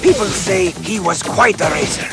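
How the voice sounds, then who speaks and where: An actor playing a brash, gossipy talking bird who works as a cartoonish sports commentator. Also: male voice, high voice, expressive, moderate pace, over loud music. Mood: upbeat.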